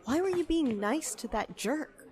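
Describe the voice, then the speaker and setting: A woman's voice: high-pitched, lively intonation, a little slow, darkish tone. A woman playing an outspoken, hot-tempered cafe worker who stands up for outcasts, in an audio drama. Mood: upset, heated. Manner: confrontational, impassioned.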